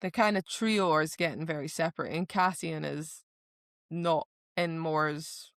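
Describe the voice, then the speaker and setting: A woman's voice: low-pitched, measured pace, fairly bright tone, dry recording, clean studio sound. A woman co-hosting a podcast in a casual book chat, retelling scenes informally and acting out bits of dialogue. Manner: informal, dramatizes characters' lines. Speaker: podcast co-host.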